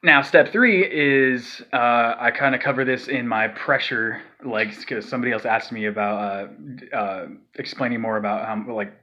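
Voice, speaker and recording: A man talks through a vocal technique in a casual, rambling way, full of fillers, restarts and breathy demonstration sighs. His voice sits at an everyday middle pitch. The recording is dull and lo-fi, like a home setup. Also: normal speed, pushed and bright. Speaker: vocal tutorial maker. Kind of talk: casual spoken tutorial.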